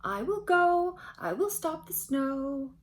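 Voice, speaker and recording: Woman as a storybook rainbow crow, brave, sweet, singing, drawn-out notes, raised pitch. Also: very animated, full character voice. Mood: brave, eager. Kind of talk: sung storybook character voice.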